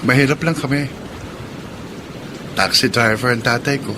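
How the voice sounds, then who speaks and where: A man's voice: mid-range voice, a little slow, noisy background, natural voice. An actor playing a sensitive, polite young man grieving his sister, in a Filipino radio drama with a Filipino accent. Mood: wistful, sorrowful. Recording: music bed behind the voice.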